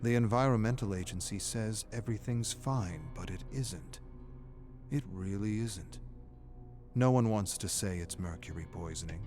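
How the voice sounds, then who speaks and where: A man reading a scripted story as a dramatic narrator, grave and deliberate. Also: lowish voice, darkish tone. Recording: music playing behind the narration. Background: background music.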